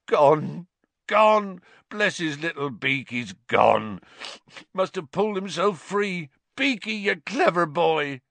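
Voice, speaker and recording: A man voicing a big, tender-hearted man in an audiobook, husky and full of emotion. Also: a little high, expressive, moderate pace, sharp tone, dry recording, pitched above the performer's own voice, heavily acted. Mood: sobbing joy. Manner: emotional, exclamatory.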